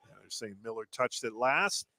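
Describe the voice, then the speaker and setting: A man's voice: mid-range voice, animated, normal speed, pushed and bright, no echo. A man giving conversational color commentary on a live basketball game. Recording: noisy gymnasium background. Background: basketball gym ambience, bouncing balls.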